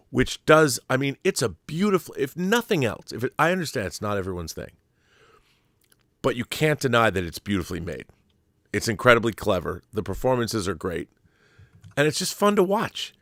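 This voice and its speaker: A man's voice: lowish voice, fairly bright tone, no room sound, studio quality. A middle-aged American man co-hosting a casual film discussion, opinionated and emphatic, often posing rhetorical questions. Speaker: talk show co-host.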